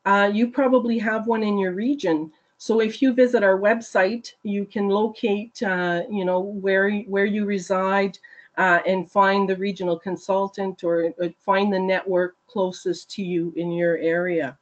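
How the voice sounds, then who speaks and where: A woman working as a regional consultant, giving a steady, informative webinar presentation over a video call. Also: mid-range voice, a little slow, highs cut off.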